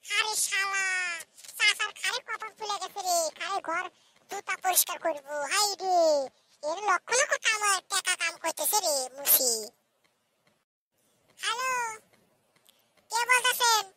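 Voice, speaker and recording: Super high squeaky cheeky village boy, cartoon banter, teasing, noisy clicky recording. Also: a little slow, long pauses. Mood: exclaiming, envious. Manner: teasing, chatty, exclaiming. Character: cheeky young village lad in a comic cartoon. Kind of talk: comic Bangla cartoon dialogue.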